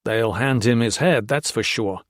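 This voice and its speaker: A man voicing a relaxed, laconic prisoner who talks easily. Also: medium pitch, unhurried, no room sound, clean studio sound, natural voice. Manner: easy, laconic. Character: easygoing American male prisoner.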